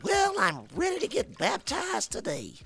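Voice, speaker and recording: A man playing a goofy, clueless grown-man sidekick who rambles slowly and hesitantly in a comic skit. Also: extremely high pitch, wildly swooping pitch, vocal fry, a bit bright, heavily acted.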